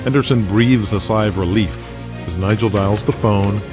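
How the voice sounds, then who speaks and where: A man's voice: fairly low pitch, moderate pace. A man narrating an audio drama in a dramatic scripted style. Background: music.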